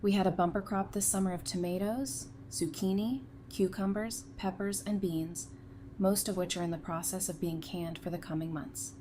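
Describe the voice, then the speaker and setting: A woman acting as a devoted, stubborn survivor in an audio drama. Her voice sits on the low side and she talks informally and frankly. There is some noise behind her. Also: steady tone, normal speed, dark tone, natural voice. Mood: matter-of-fact.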